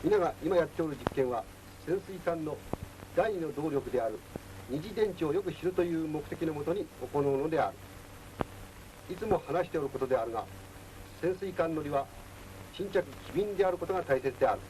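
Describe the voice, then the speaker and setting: A male naval instructor lectures formally in a voice pitched a little high. His delivery is firm and didactic. It plays from a 1940s film soundtrack with faint noise. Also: moderate pace.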